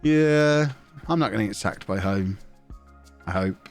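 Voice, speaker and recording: A man's voice: on the low side, lively intonation, unhurried, faint music behind. Chatty man streaming, blocked nose. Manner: chatty, joking, reads chat messages aloud, hums to himself. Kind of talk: casual live-stream chat while playing a football management game.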